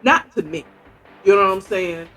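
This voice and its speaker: A woman's voice: mid-range voice, expressive, normal speed, sharp tone. A woman with an American accent giving casual book commentary in a conversational way, asking rhetorical questions as she retells the story. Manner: conversational, acts out dialogue, asks rhetorical questions. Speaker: podcast host reviewing a book.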